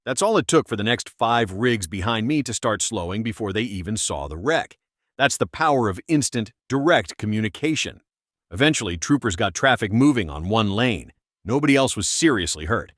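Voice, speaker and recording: Middle-aged American male narrator, folksy and plain-spoken, animated mid-range voice, close dry recording. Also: on the low side, moderate pace, dry recording. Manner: no-nonsense, folksy. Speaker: trucking channel host, former truck driver. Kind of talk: scripted narration.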